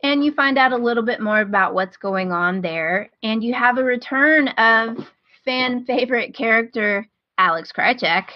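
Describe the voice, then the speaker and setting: A woman's voice: on the high side, normal speed, no room sound, highs cut off. A woman hosting a podcast in casual chat, giving recommendations in an informal, enthusiastic way.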